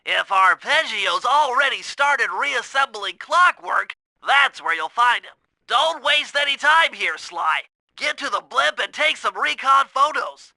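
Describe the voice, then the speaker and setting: Give this game character a very high, piercingly bright voice, a nerdy young male turtle tech whiz. He is fussy, precise and anxious, with a nasal edge. The sound is dry and close. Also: lively intonation, a little slow, creaky, dry recording, exaggerated voice. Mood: earnest, instructive. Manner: precise, fussy, technical briefing delivery. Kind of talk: video game voice acting.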